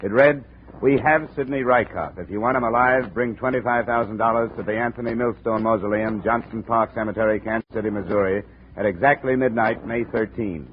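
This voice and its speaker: An American actor playing a tough, unflappable insurance investigator in a 1950s radio drama, clipped and wry. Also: male voice, fairly low pitch, a little fast, lightly acted. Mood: dry narration. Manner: clipped, hard-boiled, wry. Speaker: lead actor and narrator. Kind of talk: 1950s radio detective acting. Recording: noisy, tinny old radio recording.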